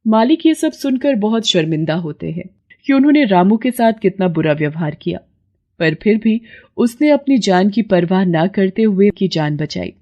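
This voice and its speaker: Casting: female storyteller for moral tales, mellow timbre, mid-pitched, scripted delivery. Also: expressive, normal speed, dull audio. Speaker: story narrator.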